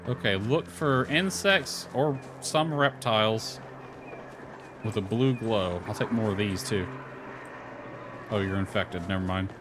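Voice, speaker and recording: A man's voice: lowish voice, unhurried, long pauses. A man thinking aloud in casual live game commentary, muttering to himself with mild exasperation. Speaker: game streamer. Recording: clearly noisy background.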